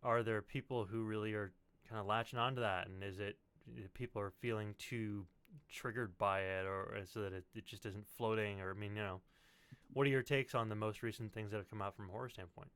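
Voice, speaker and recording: A man's voice: fairly low pitch, steady tone, a little fast, fairly bright tone, smooth, no echo. A man co-hosting a casual panel chat, wry and joking in the way he puts things. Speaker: podcast co-host.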